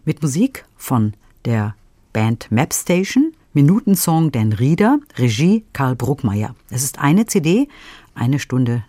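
Female book-programme host, soft-edged timbre with animated intonation, scripted moderation. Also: rumbling low, no room sound. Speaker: radio presenter of book reviews.

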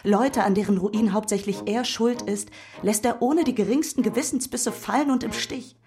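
An actress playing a wronged, embittered gentlewoman in a German period radio play, speaking emphatically and deliberately. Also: medium pitch, natural voice. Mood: bitter, condemning. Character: Mrs Smith, embittered, wronged, outspoken gentlewoman.

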